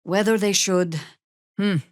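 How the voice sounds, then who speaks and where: An actress voicing a stern, wry veteran druid woman in a fantasy video game, with a dry, sardonic, measured delivery. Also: medium pitch, steady tone, dry recording, natural voice. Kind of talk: fantasy game character dialogue.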